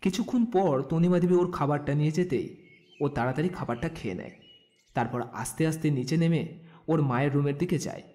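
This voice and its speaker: A male narrator reads a scripted audio story. His tone is soft-edged and mellow, pitched slightly above average for a man. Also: fairly quick.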